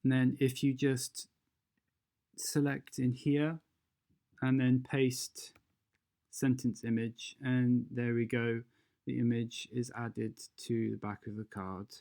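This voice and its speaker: A presenter walks through software steps in a casual, instructional way. The voice is relaxed and mellow, with an unhurried pace and mid pitch. The delivery is informal, as if thinking aloud while guiding the listener. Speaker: tutorial presenter. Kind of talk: casual step-by-step software tutorial narration.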